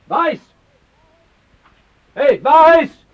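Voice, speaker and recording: An actor playing a distraught, despairing young French soldier in a Dutch radio drama, pleading and fatalistic. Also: male voice, very high voice, expressive, drawn-out words, full character voice. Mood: urgent pleading. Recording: dull, hissy old radio play recording.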